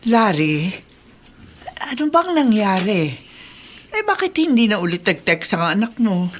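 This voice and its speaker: A woman's voice: medium pitch, very animated, measured pace, over music. A woman playing a concerned elderly grandmother in radio melodrama. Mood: worried. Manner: concerned, questioning. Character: worried elderly grandmother, gentle. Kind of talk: Tagalog radio melodrama acting.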